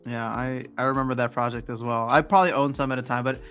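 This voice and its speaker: A man's voice: mid-range voice, fast. A man hosts a livestream in a loose, rambling way and often restarts his sentences. He talks over faint background music. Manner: relaxed, rambling, frequent restarts. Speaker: livestream host. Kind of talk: casual chat.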